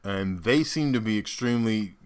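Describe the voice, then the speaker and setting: A man's voice: fairly low pitch, normal speed, clear, resonant tone. A man hosting a reaction video, giving casual commentary and thinking aloud in loose asides and interjections.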